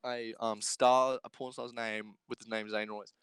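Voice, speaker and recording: A man's voice: fairly low pitch, flat delivery, measured pace, a bit bright, smooth, no echo. A young man co-hosting a podcast in a casual, joking chat, rambling and repeating himself. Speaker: podcast co-host.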